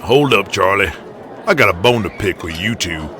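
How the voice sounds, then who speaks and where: A man voicing a belligerent, crotchety old Southern wrestling promoter in an audio drama, gruff and folksy with his cursing. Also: deep voice, expressive, moderate pace, pushed and bright, slight background noise. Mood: indignant.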